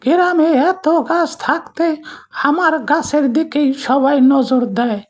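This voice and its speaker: A woman's voice: high voice, unhurried. A woman voicing a cranky, stingy old village grandmother in a cartoon, scolding and shooing people away. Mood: angry, possessive. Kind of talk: cartoon voice acting.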